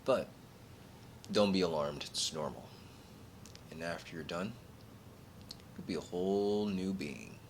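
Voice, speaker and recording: A man with a very low voice speaks slowly over a clearly noisy, rumbling recording. He performs a soft-spoken ASMR role play as a calm recruiter for a secret order, reassuring the listener in short, paused phrases.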